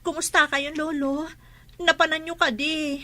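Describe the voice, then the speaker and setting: A dutiful young daughter, acted by a woman, with a fairly high voice. She speaks earnestly and fondly, in radio-style drama dialogue. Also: little pitch movement, unhurried, sharp tone, no room sound. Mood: affectionate.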